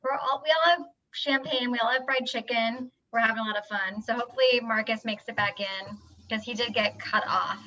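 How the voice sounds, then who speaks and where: A woman moderating a casual video-call chat in an upbeat, quick promotional way. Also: on the high side, steady tone, pushed and bright. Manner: upbeat, fast promotional talk. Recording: dull lo-fi video-call audio.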